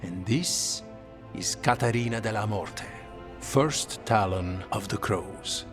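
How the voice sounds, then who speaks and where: An actor playing a dry, formal master assassin in a video game, speaking in a measured, reserved way. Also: male voice, lowish voice. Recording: music behind the voice.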